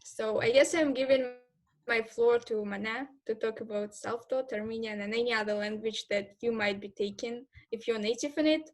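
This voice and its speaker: A young woman student explaining exam assignments to future students in a steady, methodical way. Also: a little high, moderate pace. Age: young adult. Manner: steady, methodical, with occasional fillers. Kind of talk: informal explainer talk to prospective students.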